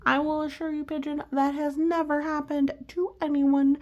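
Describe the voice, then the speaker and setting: A woman's voice: high-pitched, little pitch movement, moderate pace, a little mellow, lo-fi sound. A woman reading a storybook aloud to children, adding reassuring asides and explaining the pictures. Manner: reassuring, talks directly to child listeners. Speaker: storybook reader.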